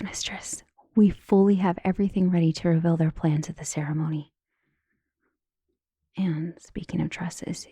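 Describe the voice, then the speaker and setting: A devoted maid voiced by a woman speaks in a hushed, soft and mellow voice with a creaky edge. She is earnest and deferential, confiding like a conspirator in an audio drama. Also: fairly low pitch, no echo. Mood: conspiratorial. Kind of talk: dramatic audio roleplay acting.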